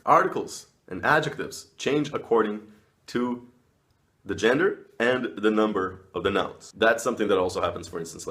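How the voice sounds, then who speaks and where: A man's voice: deep voice, a bit bright. A man from Brazil, explaining language learning in a friendly, casual talk to camera. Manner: friendly, explanatory, with small laughs. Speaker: language video host.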